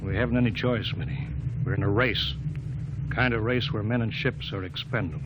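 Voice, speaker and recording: An actor playing a steady, weary male spaceship captain who gives brisk orders, acted in a 1950s radio drama. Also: lowish voice, close to an everyday voice. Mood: grim. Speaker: starship captain. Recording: noisy old radio broadcast recording.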